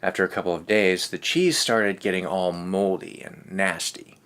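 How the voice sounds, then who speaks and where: A man with a low voice hosts a read-aloud for kids. He reads in a casual, easygoing way and drops in chatty asides. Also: steady tone, moderate pace, a bit bright, clean tone. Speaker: host of a children's read-aloud show.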